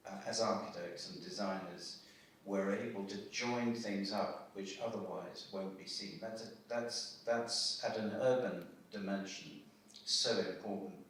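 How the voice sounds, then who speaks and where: A man's voice: on the low side, fairly bright tone, slight background noise. A man, an architect, speaking thoughtfully and hesitantly on a panel in a lecture hall. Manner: hesitant, thoughtful, many fillers. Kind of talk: panel discussion talk. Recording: echoey hall from far off.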